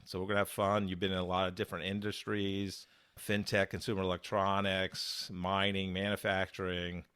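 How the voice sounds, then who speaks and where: A man's voice: sits low, monotone, moderate pace, fairly bright tone, clear, resonant tone, no room sound, clean studio sound. A man hosting an interview podcast, easygoing and hesitant, with many fillers. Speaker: podcast host. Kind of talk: casual interview conversation.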